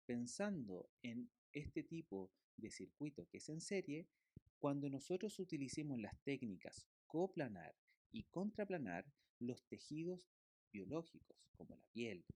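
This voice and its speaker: A male lecturer walks through his slides in a voice that rises and falls a great deal. He explains patiently, pausing on fillers and checking in with his listeners. The sound is close and dry, with no room around it. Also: medium pitch, darkish tone, dry recording. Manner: explanatory, with fillers and tag questions. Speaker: physiotherapy lecturer.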